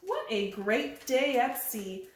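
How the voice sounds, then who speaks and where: A woman voicing a cheerful little bunny sailor from a children's story, with exclaiming, drawn-out lines. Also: a little high, big pitch swings, slight background noise. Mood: excited, glad. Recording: very echoey, roomy sound.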